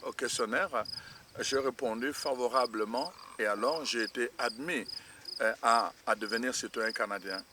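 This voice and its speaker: A middle-aged man from Congo preaching an online sermon, pausing often with fillers and restarts. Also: mid-range voice, background noise. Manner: halting, many fillers and restarts. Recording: distant mic, crickets and insects behind.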